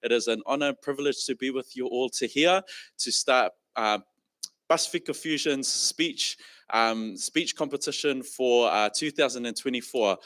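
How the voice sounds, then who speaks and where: A man from Samoa hosts a speech competition as its master of ceremonies. His voice sits at a middle pitch, with a dry, close sound. He talks in a halting way, with frequent ums and uhs. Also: fairly bright tone, no room sound. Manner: hesitant, many fillers, multilingual greetings. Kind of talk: opening address at a speech competition. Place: conference room.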